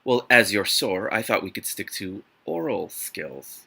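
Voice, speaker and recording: A domineering, seductive rich man from a romance novel, read out by a man, murmuring and teasing. The voice keeps a very bright, sharp edge. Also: on the low side, very animated, unhurried, gravelly, husky, heavily acted. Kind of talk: audiobook-style dialogue reading.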